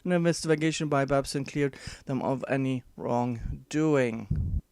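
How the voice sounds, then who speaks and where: A man's voice: mid-range voice, measured pace, vocal fry, a little mellow, clean tone, dry recording. A man whose first language is not English, giving casual commentary and reading an article aloud, with many fillers and restarts and dry sarcastic asides.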